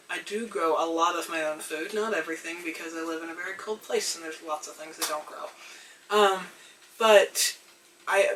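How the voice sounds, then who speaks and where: A young woman getting over a cold, her voice a little hoarse, talking thoughtfully to the camera with frequent pauses and ums. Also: fairly low pitch, a bit bright, distant mic, a little hiss or hum, poor audio. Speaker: vlogger. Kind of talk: reflective casual talk to camera. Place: tiny house.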